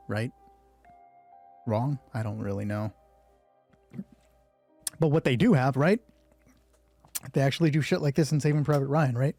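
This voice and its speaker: A man's voice: on the high side, warm tone, slightly rough, no echo. A man narrating a solo tabletop roleplay as game master, thinking aloud in a casual, conversational way. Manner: casual, conversational, reasoning through rules aloud.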